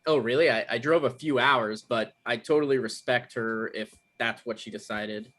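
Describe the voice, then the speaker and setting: A man's voice: mid-range voice, moderate pace, fairly bright tone, lightly acted. Man acting a flustered, apologetic boyfriend type. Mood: uneasy. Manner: stammering, flustered, naturalistic. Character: anxious, apologetic man confronting his exes, defensive. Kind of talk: live table read acting.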